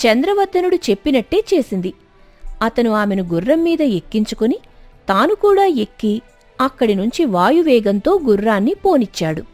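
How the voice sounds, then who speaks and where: A woman's voice: high-pitched, a little mellow, dry recording. A woman narrating a scripted story in a dramatic storytelling style.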